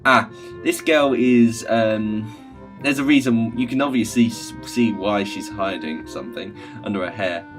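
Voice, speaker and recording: A man hosting a gaming video, reading visual novel text aloud with casual asides and halting stumbles over the words. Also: on the low side. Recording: music playing behind the voice.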